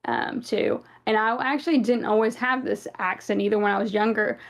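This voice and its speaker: A woman's voice: medium pitch, fairly even intonation, a little fast, vocal fry. A young woman with a Southern US accent co-hosting a casual fan podcast, chatty and rambling with many fillers. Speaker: podcast co-host.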